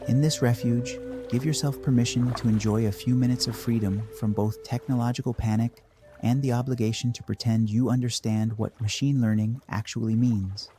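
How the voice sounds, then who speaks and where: A man narrating a scripted guided meditation in a measured, dry deadpan manner. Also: mid-range voice, faint music behind.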